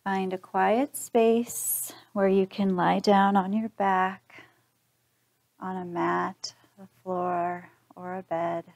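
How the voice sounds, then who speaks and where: A woman leading a guided body scan relaxation in a calm, gentle, instructional manner. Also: medium pitch, slow pace, vocal fry. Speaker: meditation guide.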